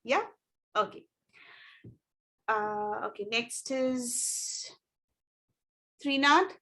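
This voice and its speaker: A woman with an Indian English accent tutoring students in an online English class, giving gentle feedback with many fillers. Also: a little high, extremely slow.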